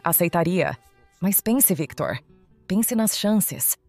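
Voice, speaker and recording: A woman with a Brazilian accent playing a pragmatic, persuasive teenage schoolgirl in audio drama, with measured delivery. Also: on the low side, expressive, darkish tone, faint music behind, full character voice.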